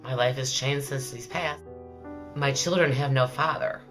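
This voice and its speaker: Middle-aged woman with a very low voice. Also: a little slow, music in the background. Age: 50s. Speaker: interviewee. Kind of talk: documentary interview.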